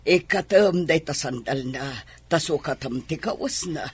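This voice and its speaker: A man's voice: fairly high pitch, unhurried, slightly rough. A man with an Ilocano Filipino accent playing a fussing, scolding mother in a radio drama. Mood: worried, scolding.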